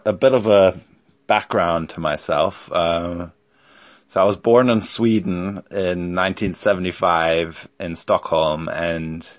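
A man's voice: low-pitched, dry recording, highs cut off. A man in his forties hosting a solo podcast, talking in a hesitant, rambling way with lots of ums and yeahs. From Germany. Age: 40s. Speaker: podcast host.

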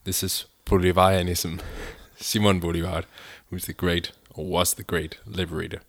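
A man's voice: deep voice, flat delivery, normal speed, fairly bright tone, dry recording. A man co-hosting a podcast in a casual, conversational discussion style. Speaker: podcast co-host.

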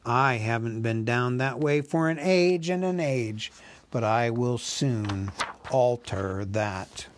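A man voicing a huge, cunning male dragon who talks in a slow, sneering, drawn-out way. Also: medium pitch, a little hiss or hum, natural voice. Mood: scoffing.

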